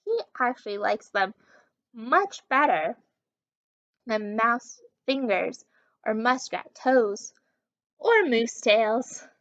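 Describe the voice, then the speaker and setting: A woman's voice: fairly high pitch, expressive, takes it slowly, pauses often, a bit bright, no room sound, exaggerated voice. A woman voicing a kindly, cheerful mother moose in a children's storybook. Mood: cheerful, teasing. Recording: dull, distant microphone sound.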